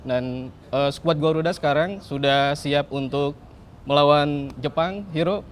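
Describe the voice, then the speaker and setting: A man giving football analysis in conversation, measured and explanatory. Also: a little high, a little hiss or hum. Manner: measured, explanatory, uses filler "gitu".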